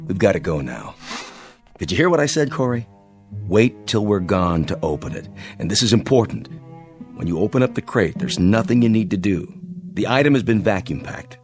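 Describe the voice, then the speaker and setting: A weary but kindly space captain, acted by a man with a deep voice. He speaks in a measured, sincere manner, as in a radio drama, with music behind him. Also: animated, lightly acted. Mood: firm, earnest. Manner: measured, earnest, reassuring. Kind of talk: science fiction audio drama acting.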